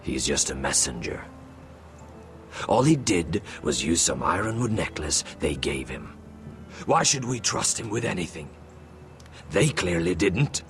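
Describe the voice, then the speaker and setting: An actor playing a suspicious, blunt wildling warrior in a video game, with music underneath. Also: male voice, deep voice, very animated, measured pace, pauses often, exaggerated voice. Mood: distrustful. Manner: distrustful, blunt.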